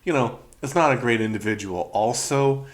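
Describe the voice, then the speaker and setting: A man's voice: mid-range voice, normal speed. A middle-aged man from Canada with a Canadian accent, chatting casually and rambling through a solo review podcast, often laughing and trailing off. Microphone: podcast microphone.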